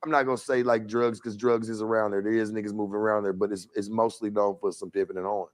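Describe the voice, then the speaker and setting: Lower-voiced man fumbling interview questions. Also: level tone. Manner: halting, restarts a lot. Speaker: show host interviewing a guest.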